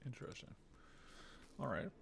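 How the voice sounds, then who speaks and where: A man in his thirties giving casual let's-play commentary, low-key and muttering as he thinks aloud. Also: normal speed, background noise. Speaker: game streamer. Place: small room at home, indoors. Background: game music.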